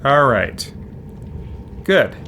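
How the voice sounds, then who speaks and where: A man's voice: mid-range voice, sing-song, drawn-out words. A man hosting a video game let's play, giving casual commentary, muttering to himself and reacting theatrically in role. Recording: loud game noise behind voice. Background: video game sound.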